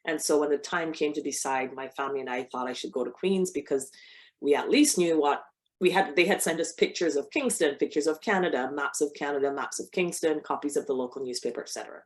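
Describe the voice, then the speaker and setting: A middle-aged woman with a notably low voice talks to an audience about her work. She speaks conversationally, restarting phrases and filling pauses as she goes. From Barbados. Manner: conversational, with frequent restarts and fillers. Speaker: cancer biologist giving a talk. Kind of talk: personal talk to an audience.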